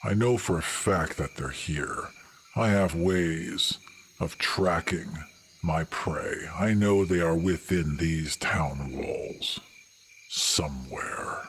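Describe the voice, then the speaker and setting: A man voicing a massive, grave female centaur hunter in a tabletop game, with a husky low voice and a formal, measured manner. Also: fairly bright tone, full character voice. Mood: ominous.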